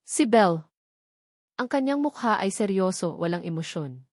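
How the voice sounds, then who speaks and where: A woman's voice: medium pitch, expressive, moderate pace, a little mellow, no echo. Filipino woman narrating, very dry close sound. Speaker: story narrator. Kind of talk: scripted dramatic narration of a love story.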